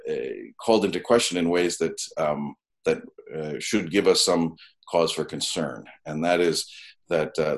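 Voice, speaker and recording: A man hosting a university panel over a video call, speaking in measured formal remarks with frequent ums and uhs. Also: extremely low pitch. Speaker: graduate school dean hosting a panel.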